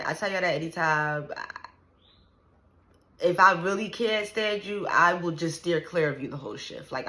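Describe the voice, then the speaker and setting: A woman in her early thirties, talking straight to her viewers and venting about her life. She is blunt and profane and fills her talk with "like." Her voice sits a little low but comes across bright and forceful. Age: 30s. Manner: blunt, profane and animated, trailing "like" and "you know what I'm saying". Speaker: vlogger. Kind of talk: casual venting to the camera.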